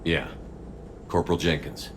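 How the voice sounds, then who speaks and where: A man's voice: low-pitched, little pitch movement, measured pace, a little hiss or hum. A man acting a steadfast military commander in a video game, firm and restrained. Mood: resolute.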